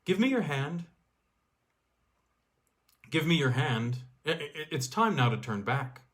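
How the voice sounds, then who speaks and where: A man's voice: a little high, very animated, slow pace, fairly bright tone, full character voice. A man playing an enraptured, pleading male climber who stammers his exclamations in a storybook reading. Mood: urgent, pleading.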